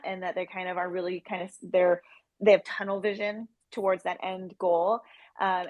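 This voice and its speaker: A woman's voice: medium pitch, no room sound. A woman chatting casually as an interview guest, effusive and emphatic. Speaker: guest, project founder.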